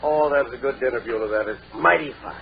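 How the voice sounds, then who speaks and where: An actor playing a well-meaning, excitable middle-aged family man who stammers and blusters in a vintage radio sitcom. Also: medium pitch, quick pace, gravelly, soft background music, close to an everyday voice. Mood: sheepish. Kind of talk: 1940s-50s radio sitcom acting. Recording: dull old radio recording, studio audience.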